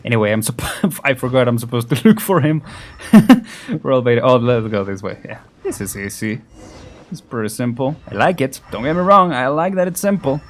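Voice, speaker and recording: A man hosting a gaming video, chatting casually and often chuckling between remarks as he plays. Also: medium pitch. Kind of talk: casual let's play commentary.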